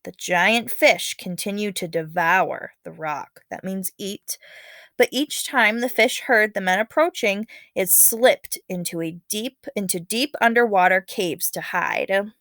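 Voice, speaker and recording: Female teacher, medium pitch, instructive and casual, reading a storybook to students with expression; dry recording. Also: a little slow, fairly bright tone, dry recording. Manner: instructive, checks in with okay and all right. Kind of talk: expressive read-aloud with casual teaching asides.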